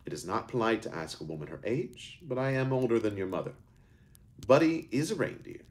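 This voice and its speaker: A man voicing a kind, ancient woman shopkeeper who speaks gently and patiently. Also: medium pitch, big pitch swings, brisk, voice pushed up, lightly acted. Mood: gentle.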